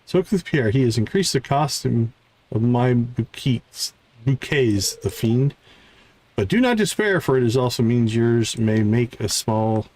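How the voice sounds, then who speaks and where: A man's voice: medium pitch, expressive, a little slow, a little mellow, rough recording. A man voicing a prim, fussy, well-bred lady florist from a video game, with formal, old-fashioned and haughty phrasing. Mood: mock indignant.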